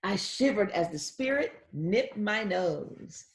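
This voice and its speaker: A woman's voice: medium pitch, lively intonation, slow. A woman voicing a joyful little girl from a Christmas storybook, drawing out her words and singsonging the sound effects. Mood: excited.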